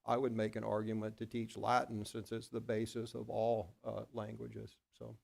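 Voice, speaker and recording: A man from Oklahoma, a school board member, talking at a board work session in a rambling, halting way with many restarts and a self-deprecating manner. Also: fairly low pitch, completely flat delivery, gravelly, a little mellow. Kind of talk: board meeting discussion.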